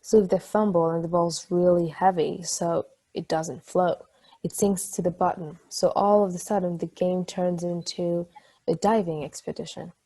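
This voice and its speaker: A woman acts out a playful married former athlete in a stage play read. Her voice is slightly low, soft-edged and husky. She chats loosely and eagerly, swearing casually as she spins out a thought. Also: moderate pace. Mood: playful, enthusiastic. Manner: casual, swearing, animated storytelling. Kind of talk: table read of a stage play, natural conversational acting.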